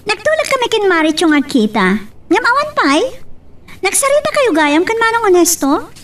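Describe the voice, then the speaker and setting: An actress playing a chatty, inquisitive young woman from a wealthy family in a radio drama, with an expressive Filipino accent. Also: extremely high pitch, normal speed, recorded from a distance, heavily acted. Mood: bright, eager. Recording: off-mic, noisy.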